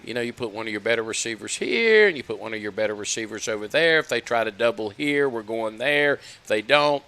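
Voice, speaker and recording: Bright-voiced football coach explaining plays. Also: male voice, medium pitch. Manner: conversational, many fillers. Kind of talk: explaining game strategy.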